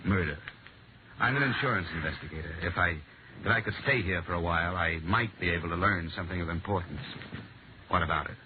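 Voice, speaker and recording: An actor playing a wry, businesslike insurance investigator in a 1950 radio detective drama, clipped and understated. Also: male voice, low-pitched, a little fast, background noise, natural voice. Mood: polite, direct. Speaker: lead actor and narrator. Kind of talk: 1950s radio detective acting. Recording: muffled vintage radio broadcast with music.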